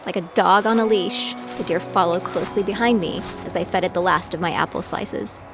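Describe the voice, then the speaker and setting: Gritty young female survivor, lowish voice, music behind. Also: a little fast, vocal fry. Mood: reflective, measured. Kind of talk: first-person scripted audio drama narration.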